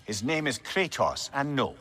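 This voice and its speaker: An actor playing a clever, genial old advisor, courtly and glib, in a video game. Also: male voice, mid-range voice, animated, normal speed, sharp tone, long reverb tail, slight background noise. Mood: courteous. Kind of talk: cinematic video game acting.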